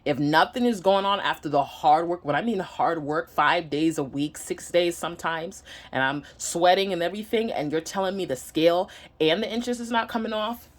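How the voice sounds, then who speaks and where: A woman's voice: fairly low pitch, normal speed. A woman with a Nigerian accent giving a casual, candid personal update to camera at home, earnest and a bit hesitant. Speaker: vlogger. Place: home room.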